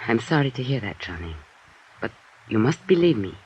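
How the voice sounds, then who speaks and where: An actress playing an earnest European woman under suspicion in an old-time radio drama, hesitant and protesting, with a husky voice. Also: very deep voice, big pitch swings, normal speed, a little mellow, a little hiss or hum. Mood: pleading, earnest. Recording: dull, band-limited old radio recording.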